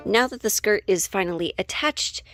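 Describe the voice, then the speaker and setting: A woman's voice: medium pitch, moderate pace, over loud music. A woman chatting casually through a craft project, self-mocking and prone to casual swearing. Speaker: sewing video creator. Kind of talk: casual craft vlog talk.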